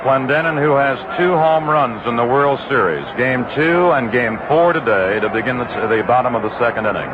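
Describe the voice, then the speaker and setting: A man giving detailed, brisk baseball play-by-play commentary on live radio. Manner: brisk, detailed, rises in pitch on exciting plays. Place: ballpark broadcast booth. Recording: tinny 1960s AM radio broadcast with hiss.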